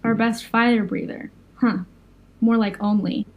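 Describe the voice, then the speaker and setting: A woman's voice: on the high side, fairly even intonation, measured pace, rough recording. A woman playing an anxious, caring young fire breather in an audio drama, speaking hesitantly. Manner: hesitant, soft-hearted, worried. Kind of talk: naturalistic audio drama dialogue.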